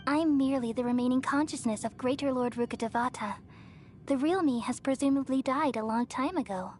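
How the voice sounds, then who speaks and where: A woman playing a serene, wise goddess in a video game, speaking gently and in a measured, reassuring way. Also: high-pitched, a little hiss or hum, lightly acted. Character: serene, wise female deity, remnant consciousness of a dead ruler. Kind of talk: scripted video game voice acting. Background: background music.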